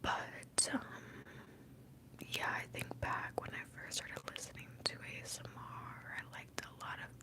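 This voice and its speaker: A young woman from Mexico, a native Spanish speaker, whispering softly and casually very close to a sensitive microphone as an ASMR streamer. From born in Mexico, moved to the US as a young child. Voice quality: breathy whisper. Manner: soft, unhurried, close to the microphone. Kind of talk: ASMR whispering, casual rambling and answering chat. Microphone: close, highly sensitive microphone.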